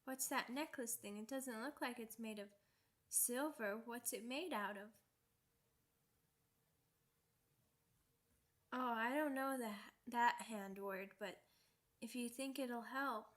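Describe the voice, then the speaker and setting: A woman's voice: on the high side, a little slow, a bit bright. A woman acting a young werewolf pup girl in an ASMR roleplay, timid, earnest and sweet, with a hesitant, childlike way of talking. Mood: anxious, trusting. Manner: hesitant, simple childlike wording with stammered repeats.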